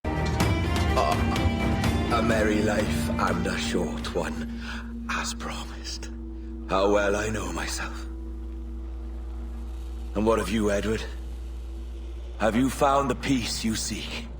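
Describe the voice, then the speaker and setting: An actor playing a theatrical, mocking, mystical pirate captain in a video game, weak and dying. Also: male voice, on the low side, slow, pauses often, in a whisper, music in the background. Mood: wry, fading.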